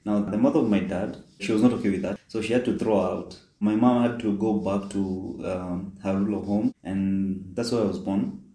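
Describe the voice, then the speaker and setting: Twenties man from Kenya, deep warm tone, hesitant storyteller, roomy echo. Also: fairly even intonation. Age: 20s. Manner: halting, many fillers and restarts. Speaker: migrant telling his life story. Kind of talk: personal storytelling.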